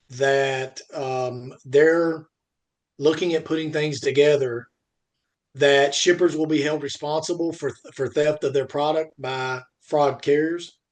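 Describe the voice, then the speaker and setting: A man in a casual industry discussion as podcast co-host, talking in a rambling way and repeating points for emphasis. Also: a little high.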